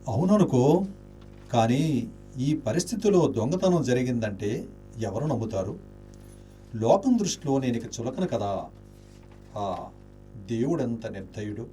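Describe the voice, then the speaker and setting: Radio drama: proud but ruined gentleman, self-pitying, declamatory, very expressive pitch, audible hum and noise. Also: unhurried, pauses often. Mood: despairing. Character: Charudatta, noble impoverished gentleman, honourable, self-pitying, melancholy. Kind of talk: Indian radio play acting.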